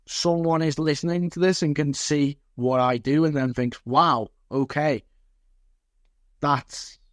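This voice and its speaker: A man's voice: a little high, no echo, studio quality. A man from Liverpool speaking as an interview guest and football coach, reflective and earnest, repeating phrases for emphasis. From Liverpool, England.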